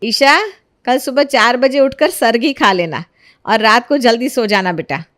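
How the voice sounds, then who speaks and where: A woman's voice: fairly high pitch, a little slow, a bit bright, voice pushed up. A woman voicing a kindly middle-aged mother-in-law, patient and soothing, in an acted storybook tale. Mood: soothing, practical. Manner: indulgent, soothing. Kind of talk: acted Hindi storybook narration.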